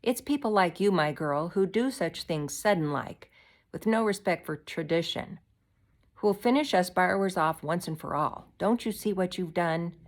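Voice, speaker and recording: A woman's voice: lowish voice, expressive, unhurried. A woman reading a storybook and voicing a stern, weary father, solemn and reproachful, with rustic phrasing. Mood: grim reproach. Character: stern, weary father, grim and plain-spoken.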